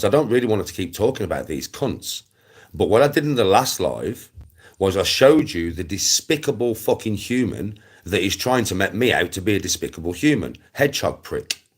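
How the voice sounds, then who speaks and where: Male, 40s, English accent, rough husky low voice; emphatic, repetitive, foul-mouthed livestream rant. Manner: sweary, blunt, repeats himself emphatically. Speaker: live streamer. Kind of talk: ranting casual livestream chat.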